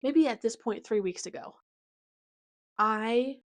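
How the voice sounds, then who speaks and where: A woman's voice: a little high, takes it slowly, no echo, clean studio sound. A woman in her late twenties giving a chatty, casual personal update as a podcast host, hopping between topics with slang and asides. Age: 20s.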